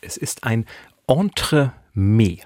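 Clear-voiced man hosting, close and dry. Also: medium pitch, animated, slow pace, a little mellow, no room sound. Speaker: podcast host. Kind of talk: chatty literary podcast presenting.

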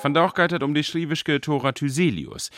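A man with a Northern German Low German accent reading scripted biographical narration as a radio reporter. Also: fairly quick, fairly bright tone, dry recording, studio quality, faint music behind.

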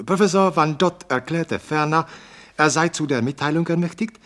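An actor playing a formal, emphatic radio newsreader reporting on a conference in a radio play. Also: male voice, a little high, moderate pace, fairly bright tone, close to an everyday voice. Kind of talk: scripted news bulletin within radio play.

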